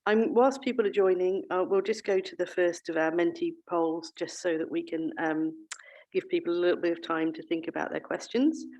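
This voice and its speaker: A woman's voice: on the low side, steady tone, fairly quick, darkish tone, poor audio. A woman moderating an online breakout session over a video call, talking with many fillers and restarts. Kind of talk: online meeting facilitation.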